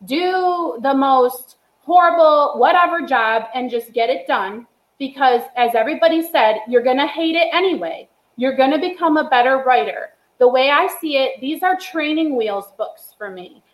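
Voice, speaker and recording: A woman's voice: high voice, roomy sound, poor audio. A woman, a writer, giving blunt, emphatic advice in casual chat. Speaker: podcast guest, writer.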